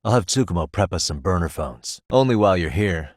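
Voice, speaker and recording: A man playing a shrewd, level-headed private detective in his thirties for a video game, speaking in a measured, matter-of-fact, determined way. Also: low-pitched, expressive, darkish tone, no echo, clean studio sound. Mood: focused, planning. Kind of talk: English video game voice acting.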